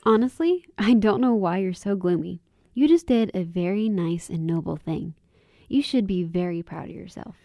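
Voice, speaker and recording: A woman's voice: on the low side, lively intonation, moderate pace, creaky, mellow, no room sound, clean studio sound, faint music behind. A woman playing a kind, earnest and plain-spoken young woman in a radio drama. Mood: encouraging. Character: kind, candid young woman with a wistful side.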